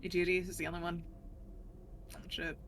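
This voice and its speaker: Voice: mid-range voice, completely flat delivery, moderate pace, a bit bright. A tabletop game player chatting informally and conversationally during a session. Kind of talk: casual tabletop game chat. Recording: clearly noisy background.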